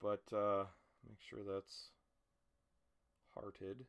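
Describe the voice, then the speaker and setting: A man's voice: on the low side, level tone, extremely slow, fairly bright tone, clean tone. A man chatting casually to camera as an amateur voice actor, rambling in a hesitant, self-conscious way. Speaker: amateur voice actor recording an audition video. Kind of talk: casual vlog chat. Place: small home room with a mattress against the door.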